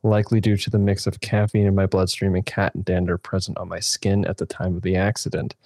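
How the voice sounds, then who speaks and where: A man's voice: low-pitched, level tone, a little fast, a little mellow, no room sound, clean studio sound. A man playing a tabletop game, joking in character with playful, mock-grand phrasing. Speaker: player. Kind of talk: comedic in-character banter.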